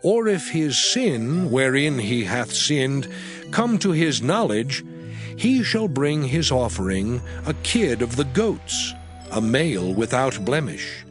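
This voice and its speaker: Expressive male Bible narrator over music. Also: medium pitch, slow pace. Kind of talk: dramatized scripture reading, scripted narration. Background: music under the voice.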